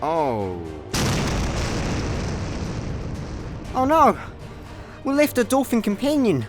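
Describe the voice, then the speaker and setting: A man's voice: high voice, wildly swooping pitch, unhurried, exaggerated voice. A man with a Scottish accent playing a cocky, flippant space layabout in a comic sci-fi radio play. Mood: offhand. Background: music.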